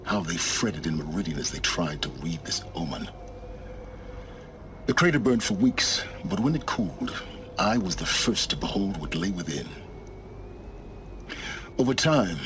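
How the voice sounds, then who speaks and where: A deep, cerebral middle-aged scholar from a video game, cold and secretive. His formal, grandiose phrasing swings widely in pitch, and clear ambient noise sits behind him. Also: very animated, a little slow, pauses often, a bit bright, exaggerated voice. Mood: grandiose, brooding. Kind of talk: dramatic video game dialogue.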